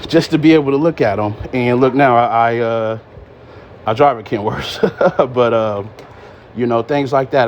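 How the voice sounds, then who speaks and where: A man in his thirties chatting casually and plainly as he drives a truck, like a vlogger on the road. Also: mid-range voice. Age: 30s. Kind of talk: casual chat while driving. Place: inside a truck cab on the road. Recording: steady road and engine noise. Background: truck cab driving noise.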